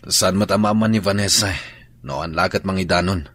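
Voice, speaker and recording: Man playing a husky cheating husband. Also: sits low, fairly even intonation, moderate pace, fairly bright tone. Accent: Ilocano. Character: unfaithful young husband. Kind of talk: radio drama acting.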